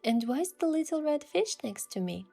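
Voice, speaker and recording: A young woman gently narrating a romantic story in the first person as its heroine, in a soft, hushed voice. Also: high-pitched, very animated, normal speed, creaky, faint music behind.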